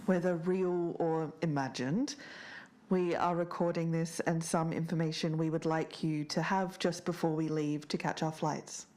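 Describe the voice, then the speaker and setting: Woman acting, deep-pitched, serious podcast host, scripted narration, measured, formal, eerie mystery. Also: fairly even intonation, mellow. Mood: serious, ominous.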